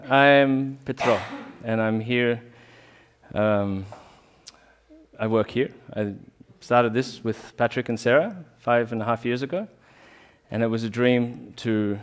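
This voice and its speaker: A man's voice: mid-range voice, slow, slight background noise. A man giving an informal talk to a small audience, earnest and reflective, often restarting his sentences. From Australia. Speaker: talk speaker, rolfer.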